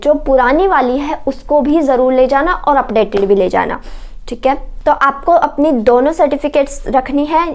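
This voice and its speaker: A woman gives an informational explainer. She sounds like a coaching presenter, instructive and reassuring, going back over points and adding short check-in tags. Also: high-pitched. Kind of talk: informational explainer for exam candidates.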